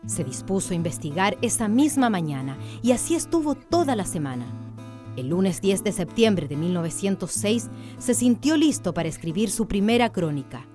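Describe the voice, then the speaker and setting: Woman narrating, soft music behind. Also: medium pitch, sing-song, moderate pace. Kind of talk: scripted radio drama narration, storytelling.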